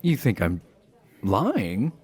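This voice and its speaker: Man acting as goofy himbo hockey player, lowish voice, very unhurried pace, playful and teasing, casual audio roleplay. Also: wildly swooping pitch, breathy edge, exaggerated voice. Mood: playful, earnest. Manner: playful, laughs often, halting teasing delivery. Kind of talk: intimate boyfriend-roleplay acting, casual improvised chat.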